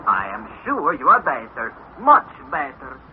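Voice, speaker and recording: An actor playing a kindly Icelandic guide, speaking deliberately and soothingly with formal phrasing in a 1960s radio drama. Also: male voice, mid-range voice, wildly swooping pitch. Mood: reassuring. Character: kindly, calm Icelandic guide nursing an injured companion. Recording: tinny, noisy vintage radio recording.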